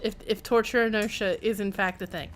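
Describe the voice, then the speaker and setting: A woman's voice: medium pitch, fairly even intonation, a little fast, faint music behind. A woman giving casual live commentary as she streams a game, in a wry, playful, rambling way.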